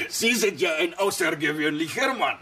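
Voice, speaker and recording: An actor playing an excitable, admiring Georgian man in a radio drama, with a gravelly voice. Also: on the high side, a little slow. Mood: amused, eager.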